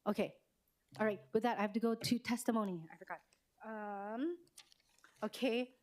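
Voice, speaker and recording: A woman's voice: on the high side, fairly even intonation, normal speed. A woman chairing a city council committee meeting, talking in long hesitant runs with many fillers, and saying she is losing her voice.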